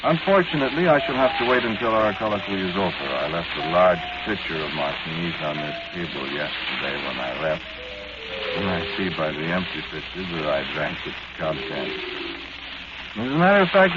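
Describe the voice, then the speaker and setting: An actor playing a doomed, genteel scientist who drinks and gambles, speaking formally and deliberately with wry asides in an old radio horror monologue. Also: male voice, deep voice, big pitch swings. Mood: wry, resigned. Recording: dull, very noisy, crackling old radio recording.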